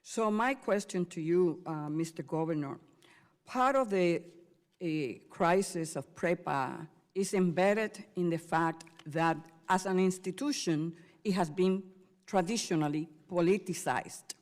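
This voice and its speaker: A woman from Puerto Rico, a member of Congress, questioning a governor at a hearing in a measured way with frequent fillers. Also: fairly low pitch, a little mellow. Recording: hall reverb.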